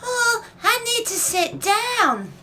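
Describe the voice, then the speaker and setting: Atriz fazendo uma mulher aflita de voz agudíssima. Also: female voice, very high-pitched, big pitch swings, takes it slowly, bright, forceful tone, exaggerated voice. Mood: distressed. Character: flustered, fretful, melodramatic woman. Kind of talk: audio comedy radio play acting.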